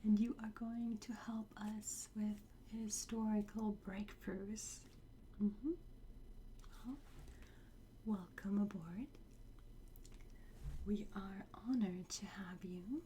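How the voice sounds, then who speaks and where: A woman whispering gently and reassuringly in a breathy voice, close to the microphone, playing a calm, courteous trainer in an ASMR roleplay. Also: mid-range voice. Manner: slow, gentle, reassuring, with soft pauses and hums. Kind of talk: soft whispered ASMR roleplay, addressing the listener. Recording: close whisper with clear background noise.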